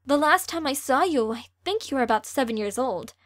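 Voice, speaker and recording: A woman's voice: high-pitched, lively intonation, brisk, fairly bright tone, no echo, clean studio sound. A woman playing a friendly, chatty, teasing teenage girl in an amateur audio drama.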